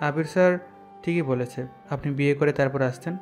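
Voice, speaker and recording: A man's voice: a little high, fairly quick, darkish tone, close to an everyday voice. A man playing a respectful, uneasy junior navy soldier in an audio drama, speaking deferentially. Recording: music bed under the voice.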